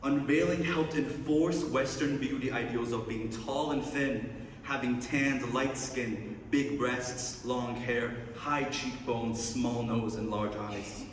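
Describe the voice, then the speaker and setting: A man's voice: medium pitch, fairly even intonation, slow pace, slight background noise. A young man from Iran gives a conference presentation, upbeat with playful asides between slide points. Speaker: student researcher presenting. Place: large lecture hall. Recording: echoey hall, mic at a distance.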